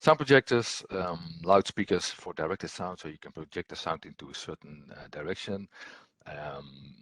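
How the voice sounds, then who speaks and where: A man with a low, husky voice walks through a technical training presentation. He talks like an instructor going through slides, halting often with ums and repeated words. The sound is close and dry. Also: fairly bright tone, no echo.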